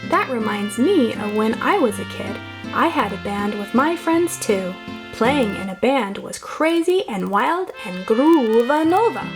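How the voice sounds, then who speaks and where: Storybook bus driver, male role read by a woman: jokey, boastful yet fretful, highly animated, music behind. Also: unhurried, poor audio. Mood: excited. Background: music with plucked guitar.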